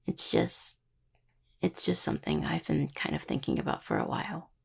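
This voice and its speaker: Husky, gravelly young necromancer woman, acted. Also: low voice, huge pitch swings, heavily acted. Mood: worried, hesitant. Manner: halting, casual, teasing. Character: affectionate, playfully stubborn young necromancer healer, a devoted partner. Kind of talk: intimate roleplay audio, one-sided dialogue to listener.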